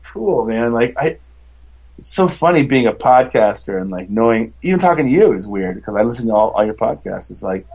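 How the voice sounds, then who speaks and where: A man with a baritone voice, a musician chatting as a podcast guest, rambling enthusiastically with many fillers and restarts. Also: big pitch swings, a little fast, creaky, a little mellow, breathy edge. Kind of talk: casual interview chat.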